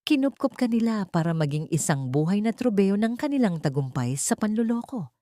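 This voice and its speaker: A woman's voice: mid-range voice, sing-song, brisk, warm tone, dry recording, studio quality, voice pushed up, close to an everyday voice. A woman voicing a loyal, tearful elderly housekeeper who shares painful secrets in an audio drama. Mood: grave, bitter.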